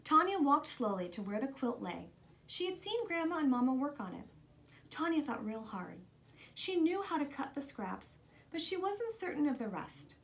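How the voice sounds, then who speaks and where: A woman reads a children's story aloud. Her voice sits a little high, and she delivers the text as a storybook narrator would. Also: big pitch swings, normal speed, a little hiss or hum. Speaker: storybook reader.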